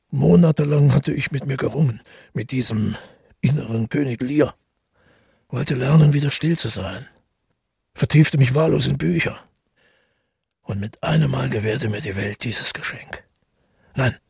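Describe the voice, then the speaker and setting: An actor playing a withdrawn, middle-aged widower who tells his story in a radio drama, husky and gravelly, reflective and measured. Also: mid-range voice, darkish tone, no echo, clean studio sound, heavily acted. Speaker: narrator and protagonist. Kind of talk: literary radio drama monologue.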